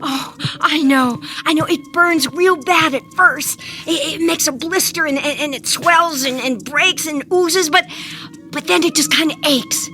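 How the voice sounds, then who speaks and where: Scared but vengeful wife in a suspense radio play, super high voice, slow drawn out lines, music behind. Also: female voice, sharp tone. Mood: pained, weary. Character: frightened, wounded wife turning fiercely vengeful against tiny intruders. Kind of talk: suspense audio drama acting.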